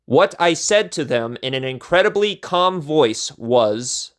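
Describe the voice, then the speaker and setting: A man narrating a scripted personal story as a storyteller, with theatrical, measured phrasing. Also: on the high side, expressive, clear, resonant tone.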